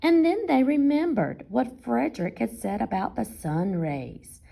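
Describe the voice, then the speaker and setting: A woman reading a picture book aloud as a teacher, expressively, pausing to question and explain to young listeners. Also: fairly high pitch, expressive, gravelly, dark tone.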